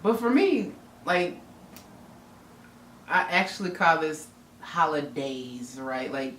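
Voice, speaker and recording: A woman from Indianapolis with a soft Southern lilt talks openly to camera, musing and trailing off. The sound is roomy and distant, with a faint hiss in the background. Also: low-pitched. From Indianapolis, Indiana, US Midwest. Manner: candid and reflective, trails off with "I don't know". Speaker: vlogger. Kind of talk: casual couple's chat to camera. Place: home room.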